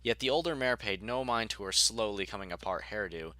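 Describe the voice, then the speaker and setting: A man's voice: lowish voice, a little fast, bright, forceful tone, dry recording. A man narrating a scripted story as its narrator.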